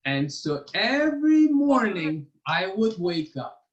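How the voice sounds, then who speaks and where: A man acts out a clever boy telling a tall tale in a storybook. His voice is high-pitched. He stretches and stresses his words to build suspense, sounding sure of himself. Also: very animated, highs cut off, heavily acted. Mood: patient, sly. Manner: drawn-out, emphatic, suspenseful delivery.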